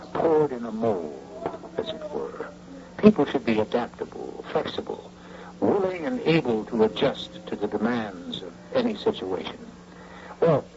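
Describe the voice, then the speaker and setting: An urbane male host of a vintage radio drama, measured and drily ironic. His delivery is creaky with lively intonation. The recording is dull and noisy. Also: mid-range voice, exaggerated voice. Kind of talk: scripted old-time radio narration.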